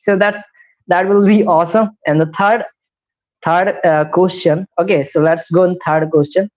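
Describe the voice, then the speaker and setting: A man's voice: high-pitched, steady tone, normal speed. Indian-accented young man teaching English. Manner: repetitive, explains step by step with frequent "right?". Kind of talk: live-streamed beginner English lesson. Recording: slightly dull lo-fi live stream audio.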